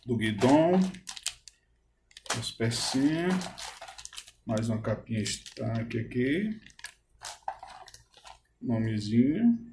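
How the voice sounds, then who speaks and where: Quiet Brazilian man unboxing indoors. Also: mid-range voice, background noise. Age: middle-aged. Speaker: vlogger showing a new camera. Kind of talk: casual unboxing chat. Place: indoors, room at home.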